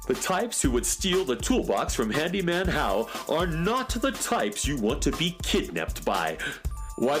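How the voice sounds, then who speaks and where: A man playing an eccentric handyman of the galaxy, part scientist and part magician, fussy and theatrical, in a children's radio drama. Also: fairly high pitch.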